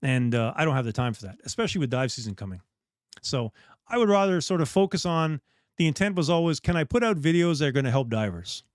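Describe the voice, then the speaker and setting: Middle-aged man, candid, rambling host, a bit high in pitch, dry close recording. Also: big pitch swings, fast, dry recording. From Bahamas. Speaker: dive shop host. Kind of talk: casual live chat.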